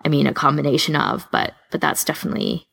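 A woman podcast co-host chatting casually in an informal, animated way, wandering into tangents as she gives recommendations. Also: sits low, quick pace, creaky, husky. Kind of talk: casual chat, recommending audio fan fiction.

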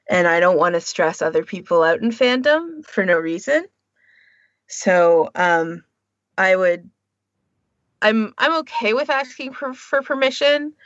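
A woman's voice: medium pitch, no room sound, highs cut off. A woman co-hosting a podcast, talking casually and informally with firm opinions of her own. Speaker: podcast co-host.

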